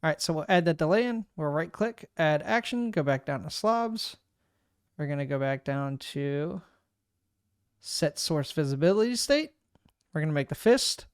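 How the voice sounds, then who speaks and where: A man casually walking through a streaming software setup, thinking aloud and narrating each click as he goes. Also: fairly high pitch, smooth, no echo, clean studio sound. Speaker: streamer giving a software tutorial. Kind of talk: casual screen-recorded walkthrough. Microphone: desk microphone.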